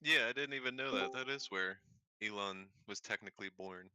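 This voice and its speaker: A man in his twenties in a casual group chat, teasing dryly with deadpan replies. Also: lowish voice, brisk. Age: 20s.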